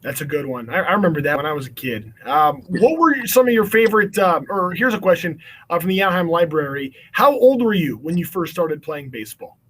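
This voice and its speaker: A man with a notably high-pitched voice hosts a casual question-and-answer session. He is encouraging and affirming, speaking informally as an interviewer. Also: very animated, a little fast, a bit bright, husky, poor audio. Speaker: event host, interviewer. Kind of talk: casual upbeat hosting, reading audience questions.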